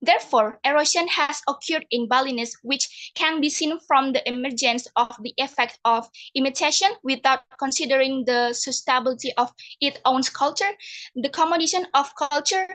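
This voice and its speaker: A young woman delivering a prepared competition speech, measured and formal. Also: high voice, a bit bright. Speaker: speech contestant.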